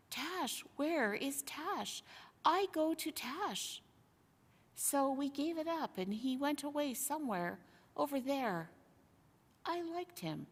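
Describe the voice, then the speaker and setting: A woman's voice: sits high, heavily acted. A woman voicing a tender-hearted, earnest young girl telling her adventure in a storybook. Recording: long hall-like reverb.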